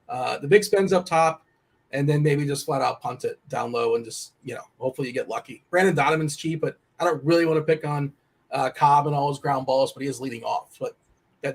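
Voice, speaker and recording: A young man analysing fantasy baseball picks in casual chat, rambling and correcting himself as he goes. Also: medium pitch. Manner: rambling, self-correcting, quick asides. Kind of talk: casual sports betting chat.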